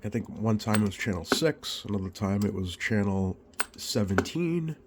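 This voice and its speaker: A man with a fairly low voice, a little scratchy as he gets over a cold. He talks like a repair technician hosting an informal video, unhurried and hesitant as he thinks aloud. There is clearly audible noise behind him. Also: animated. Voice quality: slightly scratchy. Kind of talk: informal bench demonstration vlog.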